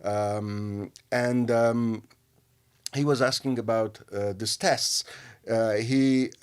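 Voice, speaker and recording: A man from Armenia with an Armenian accent, co-hosting a news discussion and talking haltingly with many fillers and restarts. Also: medium pitch, fairly even intonation, darkish tone, clean tone. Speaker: radio host.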